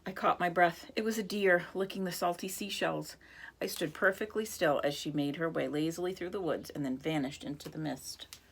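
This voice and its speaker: Low voiced lady reading a kids story aloud, expressive, talks to the kids, little bit of hiss. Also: moderate pace, gravelly. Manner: expressive, speaks to young listeners. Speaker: reader. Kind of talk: reading a picture book aloud.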